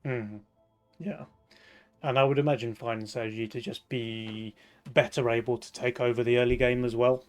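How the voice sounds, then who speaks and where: A young man hosts a live esports broadcast in a mid-pitched voice, keeping the show moving. He is upbeat and laughs easily with his co-caster. Soft music plays faintly behind. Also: steady tone, normal speed.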